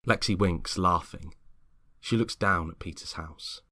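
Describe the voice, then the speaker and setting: Low, bright-toned male narrator. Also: a little slow, no room sound, studio quality. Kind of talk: scripted narration, screenplay reading.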